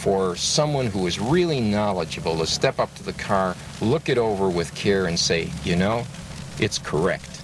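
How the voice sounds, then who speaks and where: A man's voice: low-pitched, expressive, normal speed. An older man telling stories in a conversational interview, earnest and emphatic, repeating phrases to drive a point home. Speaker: interview guest, classic car owner and restorer. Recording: noisy location recording with vehicle ambience.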